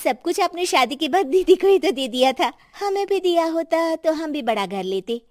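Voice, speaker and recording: A woman's voice: very high voice, expressive, normal speed, a little mellow. A woman playing a female relative in a cartoon family drama. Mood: covetous. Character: women of a family: indulgent mother-in-law and covetous daughter-in-law.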